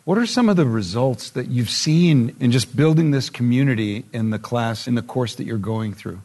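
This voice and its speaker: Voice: mid-range voice, normal speed, warm tone. An interviewer hosting a faith discussion, asking questions and offering short devotional affirmations. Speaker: interviewer, host.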